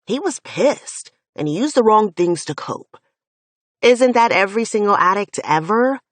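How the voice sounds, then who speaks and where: A woman reads a heated young man who is furious and protective, hammering each word and swearing freely. The voice sits low and husky in a dry, close sound. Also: very animated, moderate pace, darkish tone, dry recording. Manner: intense, swearing, emphatic.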